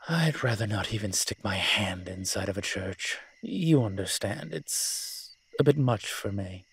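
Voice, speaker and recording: A man playing a suave, teasing demon professor in an audio roleplay, with a wry, conversational delivery and a husky voice. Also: fairly low pitch, lively intonation, measured pace, a bit bright, full character voice. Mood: relieved, polite. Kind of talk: intimate audio roleplay acting.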